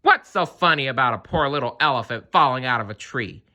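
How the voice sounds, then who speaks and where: Guy doing a tiny feisty loyal critter for a storybook, pushy, high bright voice. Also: lively intonation, a little fast. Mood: indignant. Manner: urging, demanding. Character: small feisty male animal sidekick, loyal and indignant.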